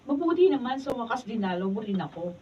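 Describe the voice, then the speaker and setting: Sulky high-voiced Filipina girlfriend. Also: very animated, fast. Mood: sulky, reproachful. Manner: petulant. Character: sulky, demanding young girlfriend. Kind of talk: Filipino radio drama acting. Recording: muffled, distant mic, noisy room.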